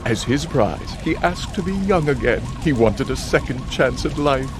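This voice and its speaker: A sly master of a deadly contest played by a man, speaking in a medium-pitched voice. He narrates the game theatrically and with measured self-importance, over clear music. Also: lively intonation, natural voice. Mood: grandiose. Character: sly, grandiose wish-granting male contest master. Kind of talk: dramatic video game narration. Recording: music behind the voice.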